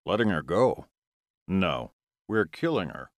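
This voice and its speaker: A man's voice: deep voice, very animated, slow pace, no room sound, full character voice. A man voicing a nonchalant, unflappable male vampire in an audiobook, curt and threatening. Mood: cold.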